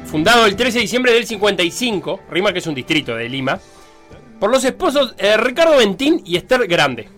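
A bright, forceful male voice with a lilt, musing aloud about football and circling back on his words with fillers. Faint music hums behind him. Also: high voice, very animated, fairly quick. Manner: rambling, many fillers and restarts. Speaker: sports radio panelist. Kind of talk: casual football talk.

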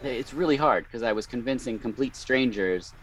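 A man with a slightly strained, hoarse voice, telling wry anecdotes as a podcast guest. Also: medium pitch, moderate pace, low-quality recording. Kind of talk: casual chat, anecdotes.

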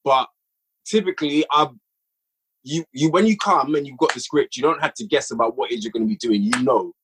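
A man talks in a casual interview about his own creative work, with a slightly husky voice at an average male pitch. He is emphatic and tends to repeat short phrases to drive his point home. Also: brisk, a bit bright, poor audio. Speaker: podcast guest, audio drama writer and director.

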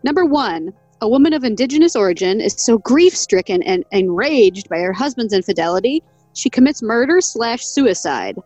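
A woman co-hosting a casual podcast, reading a folk legend from an article. Her voice sits a little high and she laughs often, stumbling over names. The recording is dry and close, with faint music underneath. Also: animated, moderate pace, a little mellow, no room sound. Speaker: podcast co-host.

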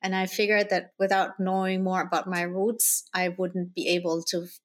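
A woman's voice: fairly low pitch, flat delivery, normal speed. A middle-aged woman from Germany telling a personal story in a conversational way as an interview guest. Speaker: guest, spirit guide channeler.

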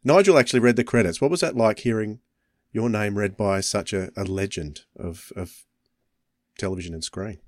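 Low, dry-sounding male podcast co-host. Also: moderate pace, darkish tone, no echo. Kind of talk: casual interview chat.

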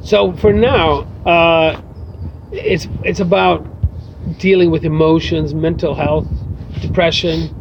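High gravelly-voiced man, reflective. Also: takes it slowly. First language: English. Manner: reflective, many fillers like "you know" and "uh". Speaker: guest writer. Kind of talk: casual interview answers. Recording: muffled with faint background noise.

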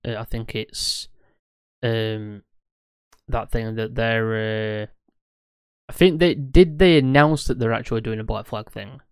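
A man's voice: lowish voice, smooth, no echo. A man co-hosting a podcast in casual chat, eager and rambling, often correcting himself mid-sentence. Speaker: podcast co-host.

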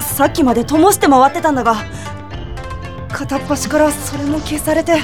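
A woman's voice: high-pitched, moderate pace, over loud music, lightly acted. A woman playing an earnest, polite and anxious young lamp-keeper spirit in an audio drama, easily flustered. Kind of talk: Japanese audio drama acting.

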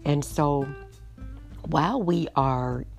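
A middle-aged woman hosting a podcast, hoarse tonight, chatting in an unhurried way with frequent ums. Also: low-pitched, a little mellow. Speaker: podcast host. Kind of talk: casual solo podcast talk. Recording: music playing underneath.

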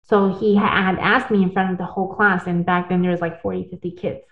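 A woman's voice: lowish voice, little pitch movement, a little fast. A woman telling her own story in a casual interview, earnest and talkative, with tag questions and restarts. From born in the United States, partly raised in Taiwan. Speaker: guest. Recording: echoey, phone-like audio.

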